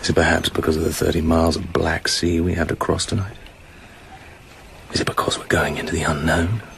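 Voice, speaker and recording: An actor playing a cool, suave British secret agent in a radio drama, understated and brooding. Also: male voice, sits low, little pitch movement, brisk, gravelly, background noise.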